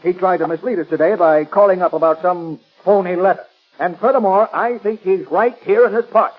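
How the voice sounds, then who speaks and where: Male actor as pompous police chief, high pitch, emphatic, earnest, muffled old radio recording. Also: fairly quick, a little hiss or hum, lightly acted. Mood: self-satisfied. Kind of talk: 1930s radio drama acting.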